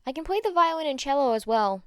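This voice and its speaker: A woman's voice: sits high, animated, fast talker, dry recording, studio quality, voice pushed up. A woman reading the part of a composed, courteous teenage girl who leads her class. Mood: polite.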